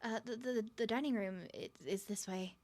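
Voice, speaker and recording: A woman's voice: a little high, normal speed, darkish tone, close to an everyday voice. A woman playing a nervous, smitten young woman on a first date in an ASMR roleplay, stuttering and rambling with flustered giggles. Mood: shy, eager.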